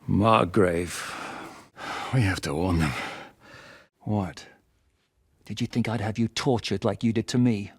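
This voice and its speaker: An actor playing a gruff, battle-hardened rebel commander in a video game, barking orders, swearing and mocking his foes. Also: fairly low pitch, fairly even intonation, slow pace, pauses often, no room sound, clean studio sound, exaggerated voice. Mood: commanding.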